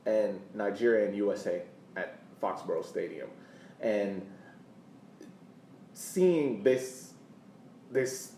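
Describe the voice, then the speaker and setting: Deep-voiced man on a roomy, distant mic. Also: expressive, slow pace, long pauses, mellow, a little hiss or hum. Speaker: podcast co-host. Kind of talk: casual sports reminiscing.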